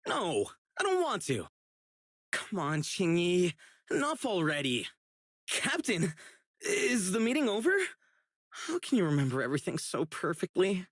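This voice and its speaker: A man voicing an earnest, righteous young public security officer in a video game, dutiful and excitable, with dramatic delivery. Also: sits high, slow, long pauses, breathy edge, full character voice. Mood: annoyed, embarrassed. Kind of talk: isolated game character voice lines, dramatic acting.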